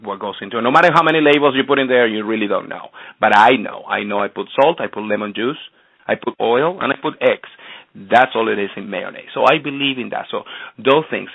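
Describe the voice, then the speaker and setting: Man from Venezuela, low-voiced chef guest, calling in over a tinny dry phone line, earnest and eager. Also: dry recording. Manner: earnest, enthusiastic, repeats words as he restarts. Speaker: chef and restaurant owner, guest. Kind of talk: phone-in radio interview.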